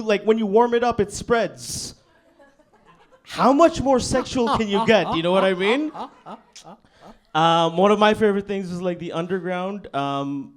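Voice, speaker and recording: A young man from Bangladesh doing a stand-up set on a comedy club stage, talking in a laid-back, deadpan way with lots of "you know what I mean". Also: high-pitched.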